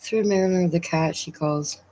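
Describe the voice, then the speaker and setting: A woman with a Newfoundland accent chatting casually with viewers on a live stream, often pausing with fillers. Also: on the low side, mellow, rough recording. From Newfoundland, Canada. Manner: chatty, hesitant, many fillers.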